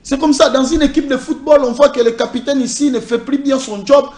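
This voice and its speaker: A man's voice: very high-pitched, steady tone, brisk, a little mellow. A man from DR Congo's Congo Central, a party communication officer, speaking emphatically in a political interview and driving points home with repeated lists. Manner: emphatic, repetitive listing. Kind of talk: political interview, code-switching.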